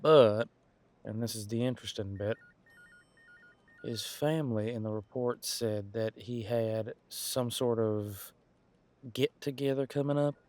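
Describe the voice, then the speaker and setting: A man's voice: medium pitch, measured pace, a little mellow, lightly acted. A man playing a casual, wry police detective in an audio roleplay, musing in a conversational, hesitant way. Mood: explaining, thoughtful.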